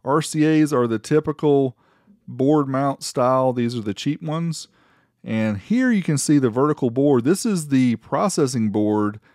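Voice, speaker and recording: A man's voice: medium pitch, a little mellow, dry recording. Casual man with a close, dry sound. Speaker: host of an amplifier review channel. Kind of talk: casual product review voice-over.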